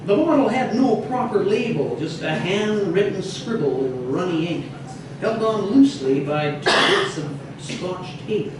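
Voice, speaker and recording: A man reading a humorous true story aloud as a storyteller, expressive, with some hesitant repeated words. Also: high voice, a little slow. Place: echoey indoor bistro. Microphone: distant microphone in the room. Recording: roomy, echoey, noisy, distant mic.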